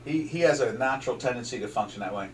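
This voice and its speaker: A man's voice: mid-range voice, expressive, fast talker, recorded from a distance, slight background noise. An older man from southern New Jersey with a South Jersey accent, chatting loosely about currency charts as host, full of fillers and restarts. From southern New Jersey, USA. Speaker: trading show host. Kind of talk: casual market commentary over charts.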